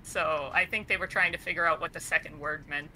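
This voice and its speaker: Bright-voiced chatty woman. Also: low voice, fairly even intonation, a little fast, faint music behind, rough recording. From Canada. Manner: chatty, laughs often. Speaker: podcast co-host. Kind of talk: casual chat.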